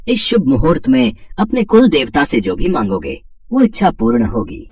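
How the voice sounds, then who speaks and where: A man's voice: on the high side, huge pitch swings, normal speed, a little mellow, husky, exaggerated voice. A man playing a solemn, reassuring royal priest and sage, declaiming formally in a mythological story dramatization.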